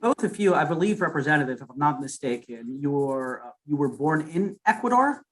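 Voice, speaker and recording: A man's voice: on the high side, sing-song, fairly quick, fairly bright tone, rough recording. A man working as a news reporter, reading a short intro and then asking tentative questions with fillers.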